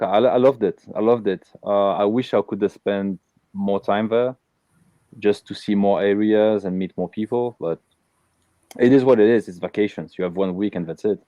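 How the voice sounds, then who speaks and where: A man's voice: lowish voice, mellow, no room sound, muffled recording. A man from Belgium hosting a casual live interview, talking with many fillers and restarts. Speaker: host.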